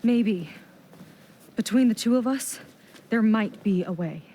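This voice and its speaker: A woman's voice: on the high side, a little slow, dark tone, slight background noise, natural voice. A woman playing a determined young soldier in a video game, speaking earnestly and with intensity. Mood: guarded. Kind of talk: video game cutscene acting.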